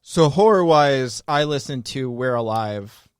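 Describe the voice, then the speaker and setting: A man's voice: medium pitch, unhurried, clear, resonant tone, dry recording, clean studio sound. A man hosting a podcast, chatting casually and laughing often. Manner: laughs often, relaxed rambling. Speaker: podcast host. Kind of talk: casual chat.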